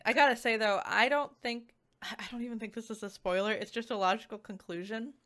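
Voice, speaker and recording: Chatty, animated woman reviewer. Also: on the high side, a little fast. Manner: animated, conversational, frequent "like" asides. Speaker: visual novel reviewer. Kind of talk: casual review chat to viewers.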